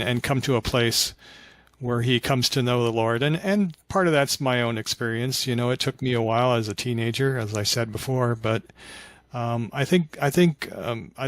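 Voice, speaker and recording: A mature man telling stories conversationally in an interview, with a halting, reflective delivery. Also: medium pitch, no room sound. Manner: halting and reflective, animated when acting out remembered dialogue. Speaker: podcast guest, author of fiction.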